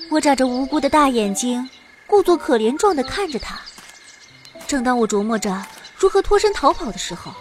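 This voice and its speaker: A broke but cheeky young heroine of a fantasy game drama. Her voice is very high and cute. She is theatrical and perky, with light music behind her. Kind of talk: audio drama with first-person narration.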